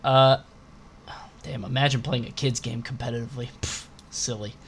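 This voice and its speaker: A man's voice: mid-range voice, flat delivery, normal speed, soft background music. A man co-hosting a podcast, reading out questions and bantering casually in a blunt, sarcastic, sweary way.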